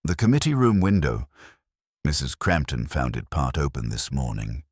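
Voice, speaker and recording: A man voicing a mild, measured police inspector in an audio story, polite, understated and quietly probing. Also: rumbling low, big pitch swings, vocal fry, breathy edge, dry recording, clean studio sound, heavily acted.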